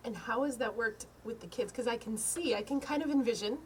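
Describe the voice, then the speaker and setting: A woman's voice: a little high, brisk, a little mellow, a little hiss or hum. A woman co-hosting a podcast in a studio, asking her guest curious questions and laughing lightly as she talks. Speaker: podcast co-host. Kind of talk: casual interview chat.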